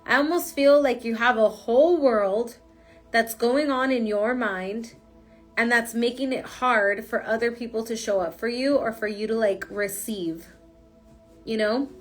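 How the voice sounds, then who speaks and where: A woman's voice: on the high side, measured pace, faint music behind. A woman giving a casual, rambling tarot reading with lots of fillers, her voice stuffy from a cold. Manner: chatty, rambling, frequent fillers. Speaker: tarot reader.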